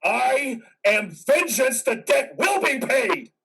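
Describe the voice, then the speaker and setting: A man's voice: very high voice, expressive, takes it slowly, fairly bright tone, slightly rough, distant mic, exaggerated voice. A man playing an anguished, vengeful war veteran in a dramatic play reading. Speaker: amateur actor.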